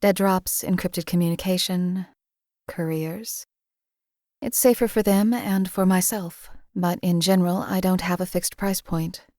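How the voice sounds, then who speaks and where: An actress playing a cold, detached female assassin companion in a game, speaking in a calm, clipped, deadpan way. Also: lowish voice, unhurried, no echo, studio quality, lightly acted. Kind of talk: game voice lines.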